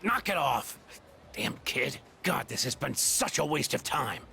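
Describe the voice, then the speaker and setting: A man's voice: mid-range voice, very animated, unhurried, gravelly, sharp tone, faint music behind, heavily acted. An actor playing a stern, exasperated father in a video game, curt and contemptuous. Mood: irritated, warning. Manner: curt, scornful. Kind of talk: English video game voice acting.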